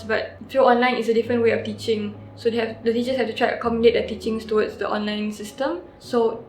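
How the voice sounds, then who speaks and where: A woman's voice: fairly high pitch, slight background noise. A teenage girl, giving earnest, thoughtful interview answers as a student. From Singapore. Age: teens. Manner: earnest, thoughtful, with frequent restarts. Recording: roomy sound with soft background music.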